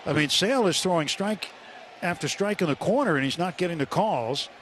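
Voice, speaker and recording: A male American baseball announcer with a slightly high voice calls the game live on radio. He takes his time between phrases, with faint ballpark noise behind him. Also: expressive, brisk, fairly bright tone. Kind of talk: live sports commentary. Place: ballpark broadcast booth. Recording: live stadium broadcast, ambient noise.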